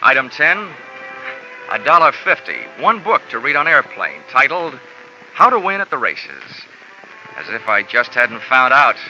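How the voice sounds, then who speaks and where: A man's voice: mid-range voice, sing-song, unhurried, pushed and bright, heavily acted. An actor playing a wry, hard-boiled male insurance investigator who narrates in dry, wisecracking first person, in 1950s radio drama style. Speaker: narrating detective lead. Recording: dull old radio recording with music.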